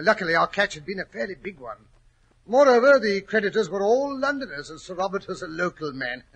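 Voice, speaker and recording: A genial English gentleman spins his tale in 1940s radio style. His voice is husky and fairly high, with a bright edge. He is chatty and courteous, and the old recording shows its age. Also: full character voice, rough recording.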